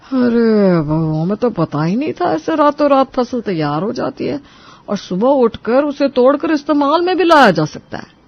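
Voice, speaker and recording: A man's voice: very high voice, very animated, moderate pace, lo-fi sound, exaggerated voice. A man playing a boastful, stubborn but gullible elderly father-in-law in a folksy cartoon moral story. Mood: impressed.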